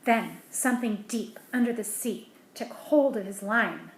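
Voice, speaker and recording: A woman with a slightly high voice reads a picture book aloud to children at a slow, patient pace. She keeps breaking off into gentle, hushed asides about the pictures. The recording is roomy and echoey. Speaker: storybook reader. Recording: roomy echo, faint background hiss.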